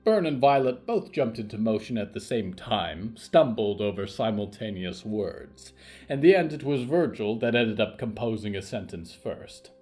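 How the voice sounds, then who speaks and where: A man's voice: lowish voice, expressive, moderate pace, a little mellow, soft background music. A man reading scripted narration for an audio fiction story.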